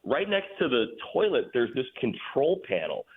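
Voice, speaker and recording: A man's voice: medium pitch, wildly swooping pitch, normal speed, slightly rough. A man talking sports in a casual interview, explaining as a guest announcer over the phone. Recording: tinny phone line.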